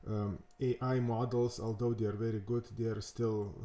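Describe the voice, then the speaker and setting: A man with a Lithuanian accent hosting a coding tutorial, thinking aloud in a halting way with many fillers and restarts. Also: lowish voice, little pitch movement, mellow, a little hiss or hum. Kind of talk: casual screen-recorded coding walkthrough.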